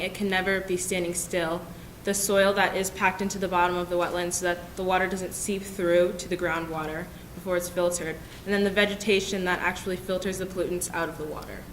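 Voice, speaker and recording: A young woman from Michigan gives a class presentation, setting out each point in order. The lecture room echoes noticeably. A faint rumble sits underneath. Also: little pitch movement, fairly quick, hardly any pauses. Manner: clear, orderly explaining. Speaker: student presenter.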